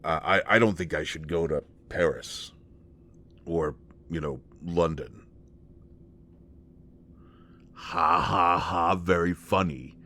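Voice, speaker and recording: A man playing a gentle, awkward, devoted companion in an intimate one-sided roleplay audio drama, speaking in a halting, stammering way. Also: sits low, slight background noise, full character voice. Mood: sheepish, wry.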